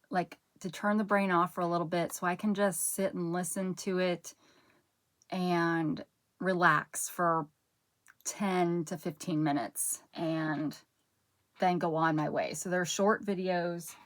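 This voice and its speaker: A woman's voice: medium pitch, fairly bright tone. A woman hosting her own channel, chatting casually as she unpacks and sorts through a haul, rambling and breaking off mid-thought, with a soothing voice. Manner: rambling, chatty, self-interrupting while sorting items. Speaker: channel host. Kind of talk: casual haul vlog chat.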